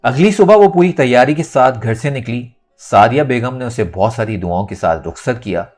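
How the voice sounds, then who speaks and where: A man reads a novel as a dramatic narrator. His mid-pitched voice moves up and down with lots of life and emotion. Soft music plays behind him. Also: expressive, normal speed. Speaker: audiobook narrator.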